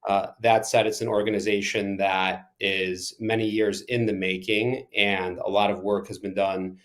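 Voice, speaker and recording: Ukrainian-born guy, lowish voice, punchy interview answers, speeds up n slows down a lot. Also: little pitch movement. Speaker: soccer club general manager and head coach.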